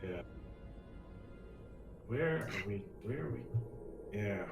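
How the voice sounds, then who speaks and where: A man with a fairly low voice talks casually as a tabletop game player. He sounds like he is in an echoey room, with faint music behind. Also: expressive, slow pace, creaky.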